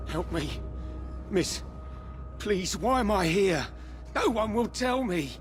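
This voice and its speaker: An actor playing a desperate, frightened captive, pleading in a video game. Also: male voice, high voice, animated, takes it slowly, over music. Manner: pleading, fearful. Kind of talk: dramatic video game cutscene acting.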